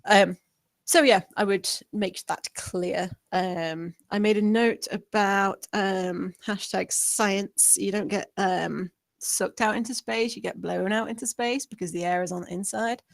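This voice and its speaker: A woman's voice: medium pitch, fairly even intonation, measured pace, creaky, dry recording. A woman giving informal spoken script feedback, explaining her points in a rambling way.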